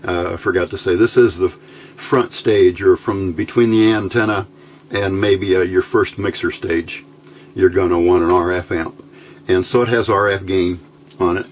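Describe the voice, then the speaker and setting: A man giving an informal hands-on electronics tutorial, thinking aloud in a halting, unscripted way at an indoor workbench. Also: deep voice, fairly even intonation. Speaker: electronics hobbyist demonstrating a homebuilt circuit. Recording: amateur recording with clear background noise.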